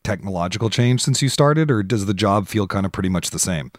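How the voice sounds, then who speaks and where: A man's voice: fairly low pitch, expressive, a little fast, no room sound, clean studio sound. A man hosting a conversational podcast, talking informally and thinking aloud in asides. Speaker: podcast host and interviewer.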